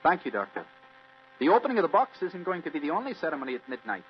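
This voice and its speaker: Earnest, animated young heir. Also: male voice, fairly high pitch, fast talker, faint music behind. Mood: earnest, happy. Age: young adult. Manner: polite, earnest. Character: earnest, polite young heir, newly engaged. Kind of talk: old-time radio drama acting. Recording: dull vintage radio recording.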